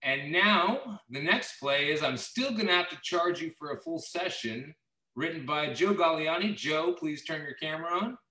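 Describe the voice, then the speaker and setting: A man hosting an online play reading and briskly introducing the next play. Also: fairly high pitch, expressive, pushed and bright, reverberant, distant mic, low-quality recording. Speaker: director and show host. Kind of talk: introducing plays. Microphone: video call webcam.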